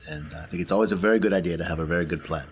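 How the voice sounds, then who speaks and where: Very deep-voiced man, halting tabletop player. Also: slight background noise. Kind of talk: casual in-character planning at a tabletop game.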